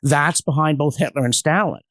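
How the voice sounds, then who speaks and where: A man's voice: fairly high pitch, brisk, fairly bright tone, no echo. A man speaking as a podcast guest in an intellectual discussion, with an erudite, emphatic, argumentative manner and strong opinions. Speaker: podcast guest, history commentator.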